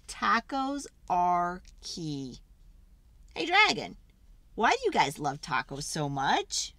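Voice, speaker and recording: A woman's voice: on the low side, a bit bright, no echo. A woman reading a children's storybook aloud in a dramatic, theatrical way, stretching words for emphasis.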